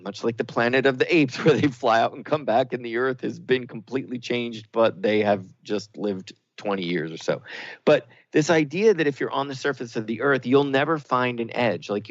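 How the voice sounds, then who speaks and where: A man's voice: mid-range voice, dry recording. A man co-hosting a reflective spiritual talk show, explaining ideas in an earnest way. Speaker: radio show co-host. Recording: slightly dull, lo-fi radio audio.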